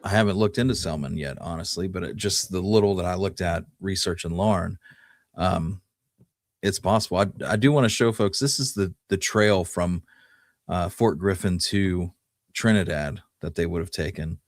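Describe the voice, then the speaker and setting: A man's voice: low voice, normal speed, no room sound, clean studio sound. A man co-hosting a history show, talking casually and explaining things in an informal storytelling way. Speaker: co-host presenting research on Old West history. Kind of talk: casual conversational history podcast talk.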